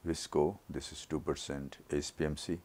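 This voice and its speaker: A man narrating his own eye surgery as a teaching lesson, his voice rough from a throat infection, explaining each step in a measured way. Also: very deep voice, fairly even intonation, darkish tone, a little hiss or hum. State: recovering from a throat infection, voice not normal. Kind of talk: slow surgical teaching narration.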